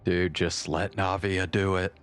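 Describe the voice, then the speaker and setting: A man's voice: sits low, level tone, unhurried. A man giving casual, laid-back, slangy commentary while streaming a game. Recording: faint game music behind.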